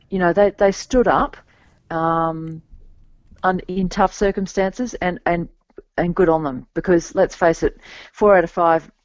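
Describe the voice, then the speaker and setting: Hoarse woman, low-pitched, dry and dull. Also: a little mellow, no echo. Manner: hesitant, many restarts and fillers. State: slightly scratchy voice from cheering. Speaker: podcast co-host. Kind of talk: casual sports chat. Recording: dull, dry lo-fi podcast mic.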